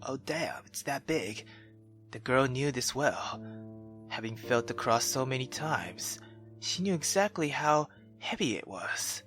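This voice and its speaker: A woman reads a visual novel aloud with dramatic expression. Her pace is slow and her pitch very animated, recorded dry and close with faint music behind. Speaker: let's player.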